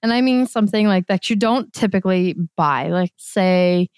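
A woman co-hosting a casual podcast, her voice slightly hoarse, explaining things earnestly. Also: medium pitch, moderate pace, a little mellow, no room sound, studio quality. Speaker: podcast co-host.

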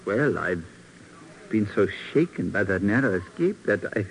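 A man's voice: fairly low pitch, very animated, moderate pace. An actor playing an evasive, self-justifying businessman in a 1950s radio drama, formal, earnest and hesitating. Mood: defensive, earnest. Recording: noisy old radio broadcast recording.

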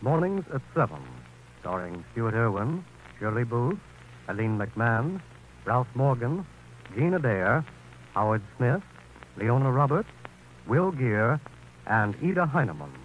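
Animated old-time radio announcer, male. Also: medium pitch, measured pace, pauses often. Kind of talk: 1940s broadcast announcing, reading cast credits. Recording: noisy old 1940s radio recording.

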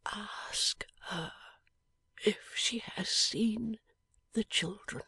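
A woman voicing a gravely ill young gentleman, speaking in a faint, breathy, halting whisper. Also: mid-range voice, no echo, voice pushed up, exaggerated voice. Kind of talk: audiobook character voice.

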